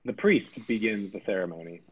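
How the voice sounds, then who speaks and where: A man's voice: lowish voice, brisk. A man reading the stage directions aloud in a table read. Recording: husky, phone-like line.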